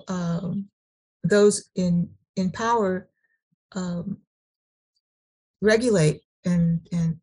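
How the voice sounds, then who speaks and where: A middle-aged woman from California sharing her personal story at a recovery meeting, halting, with many restarts and repeated words. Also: mid-range voice, darkish tone. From Sacramento, California.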